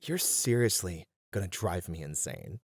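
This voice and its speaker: A man's voice: sits low, animated, a little slow, husky, no echo, exaggerated voice. A man playing a responsible, exasperated band manager in a scripted roleplay, talking in a dry, scolding manner. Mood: firm, scolding.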